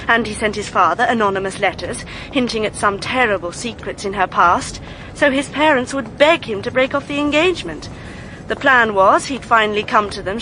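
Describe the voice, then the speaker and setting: A high, clipped British female voice over clearly noisy street ambience. an earnest young woman in a radio drama, indignant and emphatic as she confides old secrets. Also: bright, forceful tone. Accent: British English.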